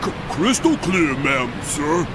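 A hulking, dim bird henchman from a video game. He blusters slowly, and his pitch lurches wildly up and down over clear background music. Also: very animated. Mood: cowed. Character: big brutish male albatross henchman, dim and blustery. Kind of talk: video game cutscene acting.

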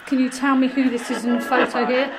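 A woman, calmly calling out to spirits in a small abandoned farmhouse room on a handheld camera. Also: high-pitched, a little fast, a crowd in the background, low-quality recording. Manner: calm, coaxing questions. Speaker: paranormal investigator. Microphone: handheld action camera.

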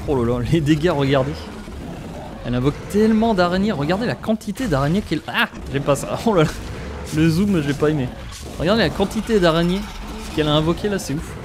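A young French man giving excitable let's play commentary, often exclaiming and talking straight to his viewers. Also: fairly high pitch, fast, noisy background. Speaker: gaming YouTuber.

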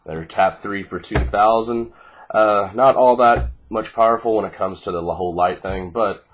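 A man's voice: lowish voice, normal speed, dull audio. A man in his twenties with a groggy voice, giving a casual, sweary card deck profile in a laid-back way. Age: 20s. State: groggy, congested from fighting off a cold. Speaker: card game player presenting a deck. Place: small living room at home, indoors. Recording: noisy home webcam recording with clicks.

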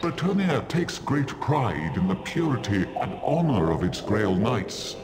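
An actor playing a solemn forest elf king in a video game, speaking in a formal, grave, deliberate manner. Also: lowish voice, sing-song, reverberant. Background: music.

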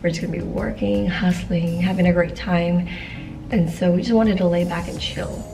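A young woman records a casual vlog at home, her voice slightly hoarse from losing it. She talks in a chatty, filler-heavy way to her camera, with music playing underneath. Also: on the low side, fairly even intonation, moderate pace, far from the mic. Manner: chatty, says "like" and "y'alls" often. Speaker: vlogger. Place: apartment living room, indoors.

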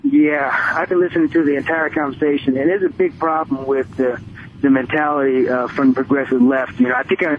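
Higher-voiced American man on a phone line. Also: brisk. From Connecticut, United States. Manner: emphatic, repeats points for stress. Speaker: radio phone-in caller. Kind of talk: opinionated call-in talk. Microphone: telephone. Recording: tinny phone line, faint noise.

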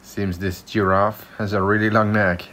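A man giving low-key, casual walking commentary to himself as he explores an abandoned resort outdoors, on a camera mic. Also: level tone, normal speed, fairly bright tone, slight background noise. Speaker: urban explorer host.

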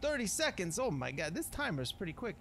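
A man with a fairly high, gravelly voice talks his way through a video game. His delivery swings freely in pitch, full of casual slang and mild grumbling, with faint noise and game ambience behind him. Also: sing-song, brisk, a bit bright. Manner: grumbling slangy talk with mild minced oaths. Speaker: gamer narrating a playthrough. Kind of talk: casual improvised gameplay commentary.

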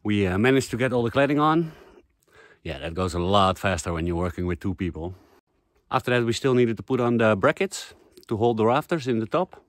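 Guy vlogging outside on a roof, camera held out, low voice, lots of uhs and ums, laid-back. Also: a little fast, no echo. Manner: relaxed, chatty, frequent uh and um fillers. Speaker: vlogger renovating a house. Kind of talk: casual vlog narration. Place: outdoors on a rooftop in a rural village. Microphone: handheld camera at arm's length.

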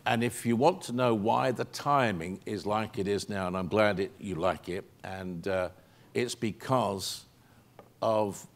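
A British man in his sixties with a low voice tells anecdotes to a theater audience. He is chatty and rambling, with plenty of ums and self-corrections. He sounds like a stage microphone picked him up on stage. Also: vocal fry. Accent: British English. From England. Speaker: actor answering audience questions. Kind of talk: stage Q&A storytelling. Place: theater stage, seated in armchair.

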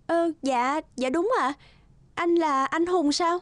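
A high-voiced, fretful young woman. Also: slow pace, dry recording. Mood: wistful. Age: young adult. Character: anxious, judgmental young single woman in a cartoon. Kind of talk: animated drama dialogue. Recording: studio voice over background music.

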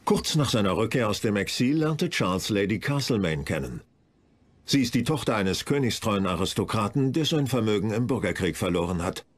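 Low, expressive male documentary narrator. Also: fairly quick.

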